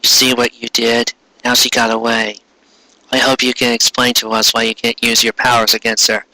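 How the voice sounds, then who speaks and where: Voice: medium pitch, level tone, moderate pace, pushed and bright, no room sound, pitched below the performer's own voice, low-quality recording. A performer voicing a serious, tough teenage heroine in a dramatic fan fiction reading. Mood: accusing.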